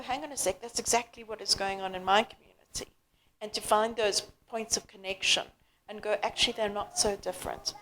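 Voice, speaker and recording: Woman panelist from South Africa, mid-pitched voice, earnest and measured, some ums and restarts. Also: slight background noise, poor audio. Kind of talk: panel discussion.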